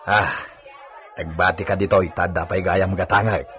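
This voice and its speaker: A man's voice: sits low, steady tone, moderate pace, fairly bright tone. A male actor in a radio drama playing a hard-drinking hacienda worker who wheedles and nags. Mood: casual, questioning.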